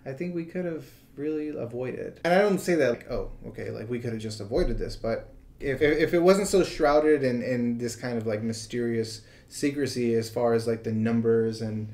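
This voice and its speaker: An American man co-hosting a podcast, giving casual news commentary in a halting, filler-heavy way. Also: mid-range voice, darkish tone. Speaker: podcast co-host.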